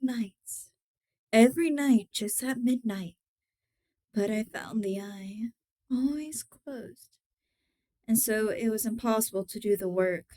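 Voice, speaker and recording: A mad, obsessive murderer acted out by a woman confesses in a gothic horror story. The voice is smooth and fairly high, with very slow, deliberate phrasing that shifts from sly hush to theatrical emphasis. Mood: cunning, hushed. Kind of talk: dramatic horror story reading.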